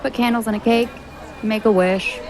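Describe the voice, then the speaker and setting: An actress playing a wry, awkward young American woman adventurer in a fantasy audio drama, with an American accent and a creaky voice. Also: on the high side, fairly even intonation, moderate pace, a little mellow, some chatter behind, close to an everyday voice, poor audio. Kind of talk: naturalistic audio drama acting.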